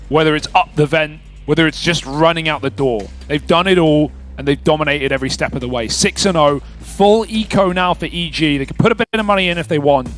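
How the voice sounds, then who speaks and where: A man with a slightly high voice calls and analyses an esports match live. He talks with enthusiasm and an analyst's eye, over a faint bed of music. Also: a bit bright. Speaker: esports commentator.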